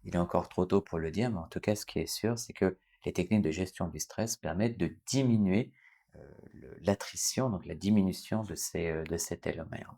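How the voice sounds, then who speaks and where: A man in his forties, a doctor from France, explaining a topic to his viewers in an unscripted way, pausing often to correct himself and adding fillers. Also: low voice, warm tone. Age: 40s. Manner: explanatory, many hesitations and self-corrections. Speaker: doctor and channel host. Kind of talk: unscripted educational talk to camera.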